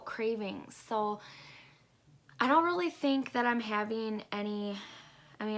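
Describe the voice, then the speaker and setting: Woman vlogger, congested, chatty and halting, slight vocal fry. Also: mid-range voice. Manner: rambling, halting, lots of ums. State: congested, stuffy nose. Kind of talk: casual pregnancy update vlog.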